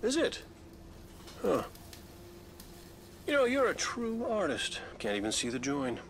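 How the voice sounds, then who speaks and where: An actor playing a wisecracking robot hunter in a game cutscene, joking and sarcastic in a drawling, laid-back way. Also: male voice, high voice, wildly swooping pitch, a little slow, slight background noise, exaggerated voice. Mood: sly. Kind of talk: video game cutscene acting.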